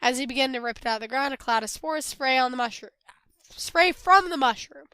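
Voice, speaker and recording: A young woman playing a text adventure game, reading its lines aloud and commenting in between. She is a little hoarse from a cold, laughs often and swears loudly when the game surprises her. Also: high voice, expressive, brisk, no echo. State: hoarse, getting over a cold. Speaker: let's play gamer.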